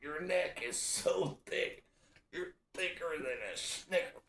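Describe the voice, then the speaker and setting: A man voicing a squeaky, pleading shirt button. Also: slow pace, fairly bright tone, reverberant. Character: strained shirt button, small, pleading, breathless.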